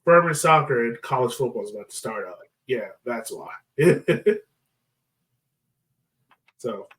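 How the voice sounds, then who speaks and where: A man's voice: medium pitch, animated, unhurried, fairly bright tone. A man hosting a sports podcast in casual, conversational soccer chat.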